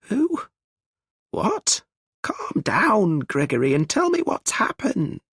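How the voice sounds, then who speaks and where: A man voicing a distraught middle-aged mother in a much higher voice. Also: wildly swooping pitch, slow, a bit bright, breathy edge, dry recording, clean studio sound, exaggerated voice. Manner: high-pitched and pleading.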